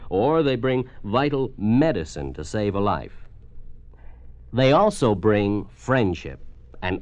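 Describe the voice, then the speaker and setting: American man, low voice, swooping oratorical delivery, solemn 1950s radio announcer, scripted, dull old recording. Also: a little mellow, dry recording.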